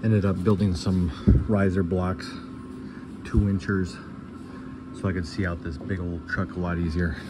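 Deep-voiced man talking through garage work. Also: a little slow, pauses often, heavy background noise. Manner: relaxed, thinking aloud while working. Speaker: vlogger restoring an old truck. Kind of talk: casual workshop narration. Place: large garage workshop. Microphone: handheld or body camera.

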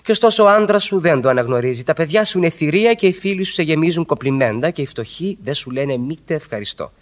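A man's voice: on the high side, expressive, close to an everyday voice. An actor playing a witty, ironic married man who flirts by argument in a Greek radio play, with articulate, theatrical delivery. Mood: ironic, teasing.

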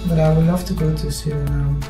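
A woman's voice: deep voice, steady tone, fairly quick. Woman, thirties, Dutch accent, casual vlog host, roomy sound, music in the background. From Limburg, Netherlands. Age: 30s. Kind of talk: casual chat. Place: living room of a home salon, indoors. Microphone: camera a few metres away.

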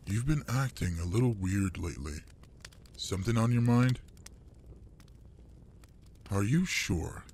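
A man playing a gruff older male monster adventurer in an intimate audio roleplay, fond and teasing with a human partner. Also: lowish voice, animated, a little slow, pauses often, a little mellow, a little hiss or hum. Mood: steady, wary.

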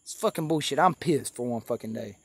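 A man's voice: mid-range voice, animated, fairly quick, breathy edge, no echo. A man, tipsy and ranting in a drawling, profane, aggrieved way on his own live stream. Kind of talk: rambling profane rant.

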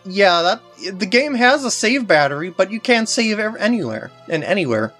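Animated, rambling game walkthrough host. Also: mid-range voice, moderate pace, faint music behind. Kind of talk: casual gameplay commentary.

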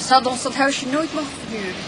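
Fast, high-voiced indignant woman, acted. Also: noisy background, close to an everyday voice. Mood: indignant, questioning. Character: indignant, questioning female holidaymaker. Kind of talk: naturalistic radio drama acting.

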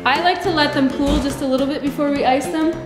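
A woman's voice: sits high, fast. Young woman explaining a recipe, echoey kitchen. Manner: instructive, upbeat, steady step-by-step explaining. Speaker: guest baker. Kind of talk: cooking demonstration. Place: large home kitchen. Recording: echoey kitchen with background music.

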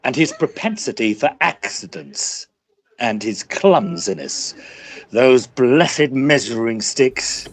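An actor playing a weary, dry Edwardian gentleman with a clipped English accent, in an audio drama. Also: mid-range voice, animated, slow pace, slight background noise. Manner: dry, clipped, long-suffering. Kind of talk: audio drama acting and first-person narration.

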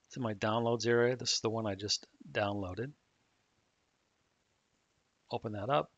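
A man calmly explaining software setup step by step in a screen-recorded tutorial. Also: on the low side, moderate pace, no room sound. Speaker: software trainer. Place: at a computer, voice-over on a screen recording. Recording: dull dry mic audio.

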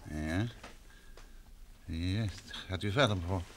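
An actor playing a polite, probing gentleman investigator in a vintage radio play, patient and attentive. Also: low voice. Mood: questioning, polite. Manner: polite, questioning, measured.

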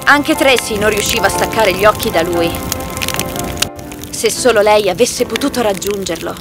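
A woman's voice: mid-range voice, normal speed. A woman reading a scripted children's fairy tale as its narrator. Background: loud background music.

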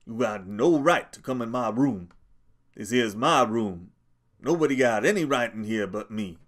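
A man's voice: medium pitch, a little slow, close to an everyday voice. A man reading an audiobook, voicing a proud, bitter older stable hand who speaks sharply and curtly. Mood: hostile. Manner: sharp, curt.

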